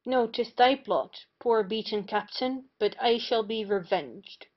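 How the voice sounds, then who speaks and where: A woman's voice: fairly high pitch, measured pace, close to an everyday voice. A woman playing a humiliated, bitter parson and wronged husband in an old stage comedy, theatrical in delivery. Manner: theatrical lamenting. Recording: muffled lo-fi audio.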